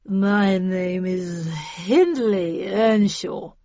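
A woman's voice: fairly low pitch, dark tone, dry recording, highs cut off. Woman acting a slurring, drawling drunk man. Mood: bitter, drunken. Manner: slow, drawn-out delivery. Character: drunk, resentful man, eldest son, slurring bitterly.

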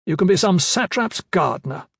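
A man voicing a shrill, scolding old woman. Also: animated, normal speed, creaky, fairly bright tone, slightly rough, dry recording, studio quality, exaggerated voice. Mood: scornful. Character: sharp-tongued old woman magister, imperious.

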